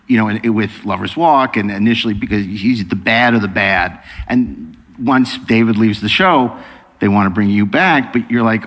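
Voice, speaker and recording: A man's voice: fairly low pitch, fairly bright tone. A man hosting an interview, asking long, wordy questions in a conversational way, with long reverb on his voice. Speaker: interviewer, podcast host. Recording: long reverberant hall sound.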